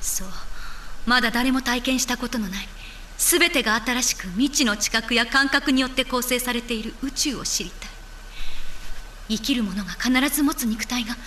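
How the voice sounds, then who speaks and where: An actress playing an idealistic, defiant young woman scientist in an animated game drama, earnest and intense. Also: on the high side, normal speed, slight background noise. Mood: fervent. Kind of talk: dramatic Japanese anime game acting.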